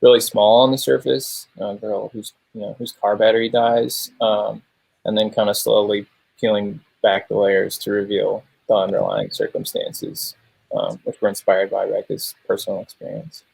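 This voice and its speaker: A man's voice: on the low side, level tone, moderate pace, creaky, a little mellow, breathy edge, low-quality recording. A man speaking as a filmmaker guest in a panel interview, explaining how a film was made.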